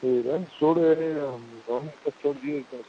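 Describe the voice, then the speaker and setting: A man's voice: medium pitch, moderate pace, breathy edge. A man with a Marwari accent chatting casually on a personal phone call, giving short, terse replies. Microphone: telephone line. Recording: dull, lo-fi phone call recording.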